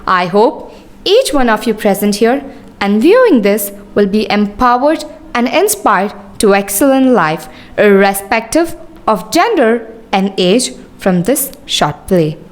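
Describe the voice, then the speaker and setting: A woman's voice: mid-range voice, very animated, measured pace. A woman with an Indian accent presenting a school show in a formal, scripted and ceremonious speech. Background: music.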